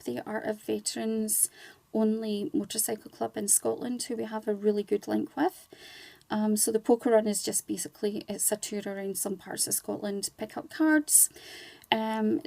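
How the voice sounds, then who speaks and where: A woman's voice: on the high side, gravelly, warm tone, no echo. A woman talking conversationally as a guest in a radio interview, explaining things in an earnest way. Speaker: guest, charity volunteer and community ambassador.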